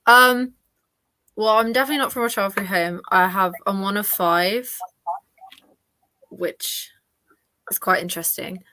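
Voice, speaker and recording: Woman, volunteer, hesitant, bright tone, dry. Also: mid-range voice, steady tone, measured pace, long pauses, no echo, rough recording. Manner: soft-spoken, hesitant. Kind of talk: casual video call chat. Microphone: video call.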